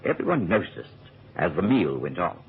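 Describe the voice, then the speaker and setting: An actor playing an earnest gentleman physician, recounting a case with dramatic storytelling in a radio drama. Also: low-pitched, big pitch swings, moderate pace, gravelly, husky, full character voice. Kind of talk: radio drama narration and acting. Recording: tinny old radio recording.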